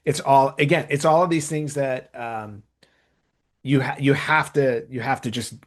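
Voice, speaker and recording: A man's voice: medium pitch, steady tone, measured pace. A man talking casually as a podcast host, enthusiastic and emphatic, often repeating phrases to stress his point.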